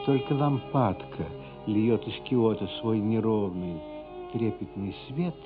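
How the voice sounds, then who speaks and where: A man narrating a literary radio play in a measured storytelling manner. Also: fairly low pitch. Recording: muffled, phone-like sound with music. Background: music.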